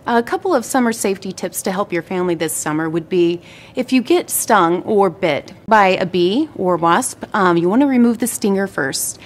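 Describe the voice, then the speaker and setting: A woman's voice: medium pitch, lively intonation, moderate pace. A woman working as a health program manager, giving safety tips in a plain-spoken, instructive way. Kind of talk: informational safety tips to camera.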